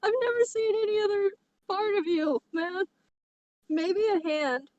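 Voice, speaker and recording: A woman's voice: extremely high pitch, unhurried, a little mellow, no echo, muffled recording. A woman co-hosting a comedy podcast in casual, teasing, giggly banter. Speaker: podcast co-host.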